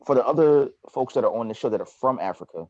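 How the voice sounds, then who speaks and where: A man's voice: medium pitch, expressive, fast, a little mellow, dry recording. A man from New Jersey hosting a podcast interview over a video call, talking in a reflective, conversational way. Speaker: podcast host. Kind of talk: casual interview. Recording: muffled video call audio.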